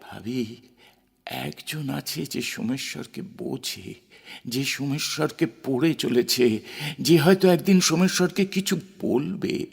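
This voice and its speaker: An actor playing a married poet, romantic, conflicted and self-questioning, in an emotive, sometimes halting audio drama style. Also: male voice, medium pitch, creaky, breathy edge, full character voice. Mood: yearning. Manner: theatrical, emotive, halting at times. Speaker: actor and first-person narrator. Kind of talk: Bengali audio drama acting with introspective narration. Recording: music under the voice. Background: background music.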